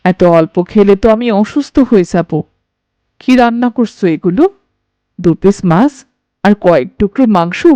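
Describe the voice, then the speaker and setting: A woman's voice: medium pitch, expressive, unhurried, mellow, no room sound, highs cut off, lightly acted. A woman voicing a stern, suspicious middle-aged mother-in-law in a cartoon, scolding and commanding. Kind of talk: Bengali cartoon voice acting.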